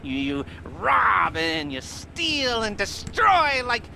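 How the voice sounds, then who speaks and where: Jumpy drugged-up heist boss, giggles a lot, voice all over the place, kinda high and bright. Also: male voice, wildly swooping pitch, slow, creaky, a little hiss or hum, heavily acted. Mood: giddy. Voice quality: nervous, rambling, giggly, manic swings in pitch. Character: jittery, heavily medicated male heist planner, rambling and giddy. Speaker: heist planner. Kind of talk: video game cutscene acting.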